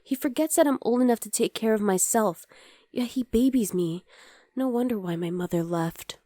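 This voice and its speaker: Soft, mellow young demon girlfriend played by a woman. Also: fairly high pitch, moderate pace, no echo, studio quality, natural voice. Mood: resentful, confiding. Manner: intimate, soft, often dropping to a whisper. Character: young demon girlfriend, sweet, exasperated, rebellious daughter. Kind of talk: ASMR roleplay, addressing the listener as partner.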